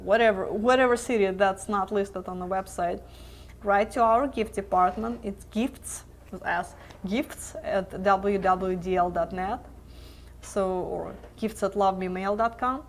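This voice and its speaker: A woman in mid-pitch hosts a live question-and-answer webcast, chatting freely and laughing easily between answers. Her voice is wearing thin from a long stretch of talking. She speaks loosely, with plenty of fillers and restarts. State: losing her voice.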